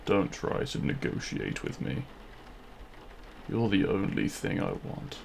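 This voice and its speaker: Man acting a low, gravelly demon king. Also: very animated, takes it slowly, a little mellow, a little hiss or hum, exaggerated voice. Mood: menacing, possessive. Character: feared demon king, menacing in public, tender lover in private. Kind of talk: romantic ASMR audio roleplay.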